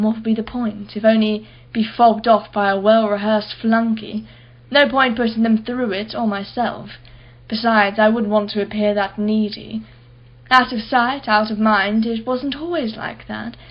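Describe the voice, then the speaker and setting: A woman's voice: a little high, muffled recording, natural voice. A woman playing a wealthy, blunt and haughty married woman in a radio play, clipped and defensive. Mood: guarded, brittle.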